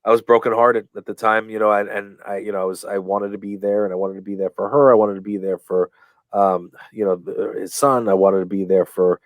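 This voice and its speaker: A man being interviewed on a podcast, telling stories in a rambling way, full of fillers and restarts, and acting out quoted lines with lively voices. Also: lowish voice, brisk, no echo. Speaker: guest, professional wrestler. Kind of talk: casual storytelling in a podcast interview.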